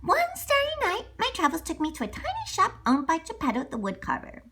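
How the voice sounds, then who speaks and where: A woman reads a children's story in a very high, bright voice. Her delivery swings widely in pitch and is playful, as she speaks straight to young listeners with questions and little asides. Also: huge pitch swings, brisk. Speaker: children's storyteller, channel host. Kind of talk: animated children's read-along narration.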